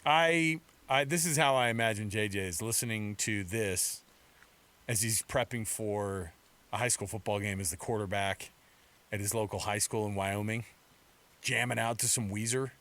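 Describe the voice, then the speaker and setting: An American man co-hosting a lively sports talk radio show. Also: on the low side, normal speed.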